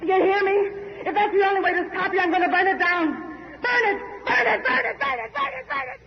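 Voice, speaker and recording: Shrill, very high, frantic woman. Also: brisk, vocal fry. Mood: frantic, furious. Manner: shrill, screaming. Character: desperate, frantic woman driven to threats. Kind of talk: 1940s radio drama acting. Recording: muffled, hissy 1940s radio recording.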